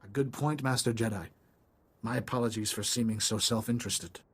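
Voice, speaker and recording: A man's voice: fairly low pitch, brisk, breathy edge. A man playing an eager, polite ambassador in a video game, chatty and apologetic in manner.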